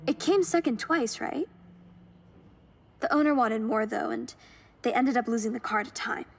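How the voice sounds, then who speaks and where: A woman's voice: fairly high pitch, moderate pace, gravelly. A woman playing an upbeat, encouraging car enthusiast in scripted video game cutscenes, speaking in an enthusiastic, conversational way. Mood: friendly, upbeat. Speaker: game character, car-hunting companion. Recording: music under the voice.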